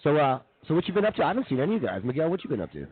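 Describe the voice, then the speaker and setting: A man's voice: on the high side, sing-song, rapid-fire. A man co-hosting a comedy radio show in casual conversation, on a tinny phone-like stream.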